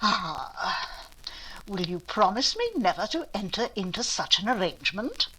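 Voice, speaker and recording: Haughty older noblewoman, bossy and pompous, swoopy bright voice, old radio play style. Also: medium pitch, very animated, measured pace, husky, heavily acted, rough recording. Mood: indignant, imperious. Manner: pompous, commanding, clipped formal speech. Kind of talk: recreated 1940s radio drama acting.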